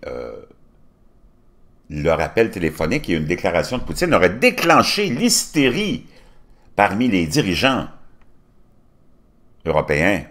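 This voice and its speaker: A man in his fifties with a Québécois accent, reading news articles aloud and commenting on them, bursting into loud emphasis and then dropping to low muttered asides. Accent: Québécois French. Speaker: commentary channel host. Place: home studio with virtual backdrop.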